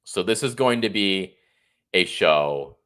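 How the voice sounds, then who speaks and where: Congested man with a low voice. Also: lively intonation, slow pace, fairly bright tone, smooth. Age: 30s. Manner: chatty, rambling, opinionated. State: congested and a little tired. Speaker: political livestream host. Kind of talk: casual solo livestream monologue.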